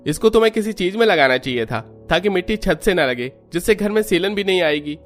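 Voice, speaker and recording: An actor playing an annoyed, practical young Indian brother-in-law in a family cartoon. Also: male voice, high voice, lively intonation, brisk, fairly bright tone, soft background music. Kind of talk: Hindi family cartoon dialogue.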